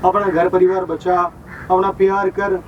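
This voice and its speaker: A man's voice: high voice, little pitch movement, normal speed, muffled recording, lightly acted. A man playing someone who scolds and mocks a family harshly. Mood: mocking. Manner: mocking, scolding. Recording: distant voice over loud noise.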